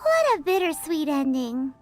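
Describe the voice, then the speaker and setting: A woman voicing a tiny, cheeky fairy girl companion in an anime game, with a squeaky childlike voice and a cutesy, exaggerated delivery. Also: sing-song, a little slow. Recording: game music behind the voice.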